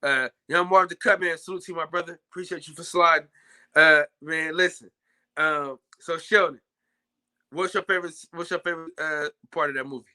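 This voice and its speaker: A young man hosting a casual live-stream chat, loudly shouting out viewers by name. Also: fairly high pitch, fairly even intonation, brisk. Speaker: podcast host.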